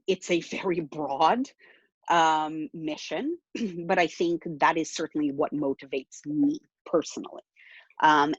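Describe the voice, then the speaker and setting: A woman's voice: low-pitched, no room sound, highs cut off. A woman in her thirties from Romania, talking earnestly in a casual interview over a video call, with many fillers and repeated words. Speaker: interview guest, blockchain project co-founder.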